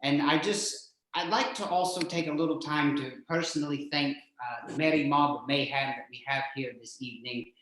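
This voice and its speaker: Male horror-show host, higher-pitched voice, hammy and jokey, heavily echoing room. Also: steady tone, moderate pace, few pauses, a bit bright, slight background noise. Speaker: host of a horror radio-play stream. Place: indoors, remote video call stream. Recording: very echoey, boomy room sound.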